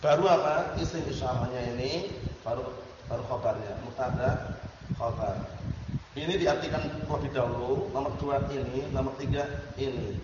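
A man's voice: medium pitch, normal speed. A man teaching Arabic grammar in class, informally drilling students with repeated questions and frequent checks that they understand. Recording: echoey hall, muffled sound with background noise.

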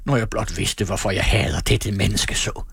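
A man's voice: fairly low pitch, creaky, a bit bright, slightly rough, no echo, clean studio sound, heavily acted. A man voicing a bitter rejected suitor in a storybook, declamatory and melodramatic. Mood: furious. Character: bitter rejected suitor, pleading then raging and threatening.

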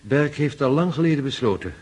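A polite young lieutenant in a radio drama. His voice is breathy and rough-edged, with swooping intonation, yet his manner stays courteous and hesitant. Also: mid-range voice, lively intonation, brisk, darkish tone. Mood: reserved. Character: courteous, reserved young army lieutenant, faintly wistful.

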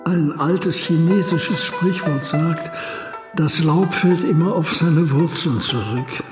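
A man playing a reflective elderly academic in a radio documentary play, speaking in a measured, deliberate way. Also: on the high side. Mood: wistful, reflective. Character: reflective elderly academic, homesick returned emigrant husband. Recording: roomy echo, music behind.

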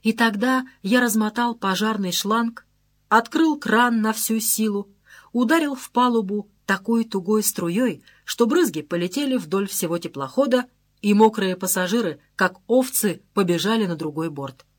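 Woman narrating a lively audiobook. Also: medium pitch, moderate pace. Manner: lively, conversational storytelling. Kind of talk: scripted first-person narration.

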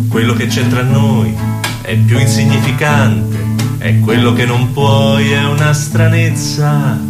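A male singer-songwriter singing a comic love ballad over acoustic guitar. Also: mid-range voice. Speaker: singer-songwriter, radio guest. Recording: roomy, loud guitar accompaniment.

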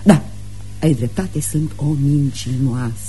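A woman's voice: low voice, mellow, soft background music, lightly acted, rough recording. An actress playing a hard-drinking, domineering middle-aged mother in a radio play, with a scolding, theatrical manner. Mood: evasive, uneasy. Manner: scolding, theatrical, swings from biting to offhand. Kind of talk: Romanian radio theatre acting.

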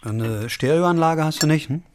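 Ein Schauspieler spielt in einem Hörspiel einen pingeligen, ängstlichen, introvertierten Mann mit höflicher, selbstironischer Art. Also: male voice, a little high, moderate pace, slight background noise, natural voice. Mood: polite, awkward. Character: fussy, tidy, anxious, introverted man. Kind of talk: German radio drama acting.

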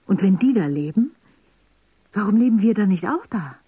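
A woman of a dystopian underground world, full of urgent questions. Her voice is very breathy and dark, dry and close, with lively swings. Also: medium pitch, normal speed, muffled recording. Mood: urgent questioning. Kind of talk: German radio drama acting.

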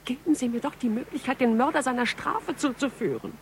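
An actress playing a serious, determined police investigator who speaks insistently in a radio crime drama. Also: female voice, high-pitched, brisk, a little hiss or hum. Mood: urgent, pleading. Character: determined female police investigator, earnest and pressing.

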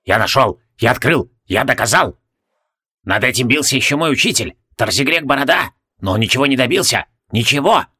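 Crazy old alchemist for a fantasy audiobook, cranky n husky, bright sharp voice jumping all over. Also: male voice, medium pitch, fairly quick. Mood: excited boasting. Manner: excitable, barking, repeats phrases emphatically. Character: frantic shaggy old mad alchemist, cranky and boastful.

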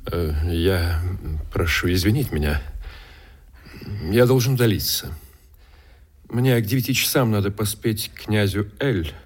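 An actor playing an ardent, genteel gentleman suitor in a radio drama, with hushed, florid delivery. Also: fairly low pitch, animated, a little slow, slightly rough. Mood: awkward, polite. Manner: impassioned, hushed, florid declamation. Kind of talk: theatrical Russian radio drama acting.

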